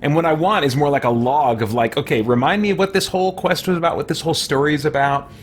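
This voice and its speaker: A middle-aged man giving casual live let's-play commentary, musing and thinking aloud in halting asides. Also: a little high, slight background noise. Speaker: game streamer. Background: game music.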